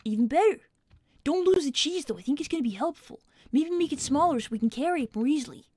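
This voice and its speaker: A very high, youthful cartoon fox boy played by a woman, recorded close and dry. He is earnest and clever, thinking out loud in candid gamer chatter. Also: animated, fast, no room sound. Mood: excited. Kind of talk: in-character gaming livestream chat.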